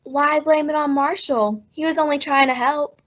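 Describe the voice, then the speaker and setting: A muffled recording carries the teenage daughter of a radio comedy family played by a woman. She has a high voice and a pert, defensive, matter-of-fact way of answering. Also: steady tone, normal speed, lightly acted. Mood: innocent, defensive. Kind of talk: old-time radio sitcom acting. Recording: muffled recording with vehicle sounds.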